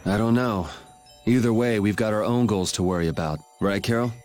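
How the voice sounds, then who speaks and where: A man's voice: fairly low pitch, brisk, a little mellow, faint music behind, close to an everyday voice. A man playing a cool-headed, plain-spoken young party leader in an English game dub, casual and matter-of-fact. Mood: calm, resolute.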